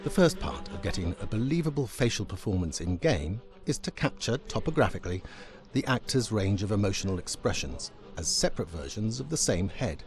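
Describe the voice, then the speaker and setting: A man giving scripted documentary narration as a game's creative director. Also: on the low side. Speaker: game executive creative director. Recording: music bed behind narration. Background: background music.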